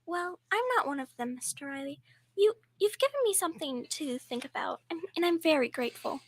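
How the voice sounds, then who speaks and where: High-voiced grieving widow played by a woman. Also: animated, brisk. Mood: humbled, grateful. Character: grieving, reclusive young war widow, polite and fragile. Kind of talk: old-time radio drama acting, amateur school performance. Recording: clear background noise.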